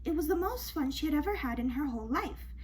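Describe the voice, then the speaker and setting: A woman reading a children's story aloud in an expressive, dramatic way, with emphatic questions and asides. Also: sits high, fast talker, a little hiss or hum. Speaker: storybook reader.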